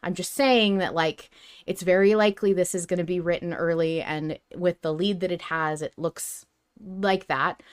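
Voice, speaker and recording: A woman's voice: lowish voice, fairly even intonation, moderate pace, clean tone. Chatty, opinionated woman podcast host. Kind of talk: casual fan discussion.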